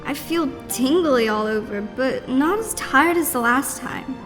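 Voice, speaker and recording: Teen heroine, played by a woman: plucky, anxious girl, high voice, audio drama, long hollow reverb. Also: animated, measured pace, fairly bright tone, faint music behind. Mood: amazed, pleased. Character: plucky, anxious young teenage girl hero.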